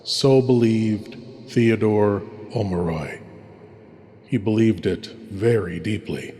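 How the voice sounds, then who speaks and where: A man's fairly low voice rings in long reverb. He narrates as a theatrical horror host, slow, measured and dramatic. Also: warm tone.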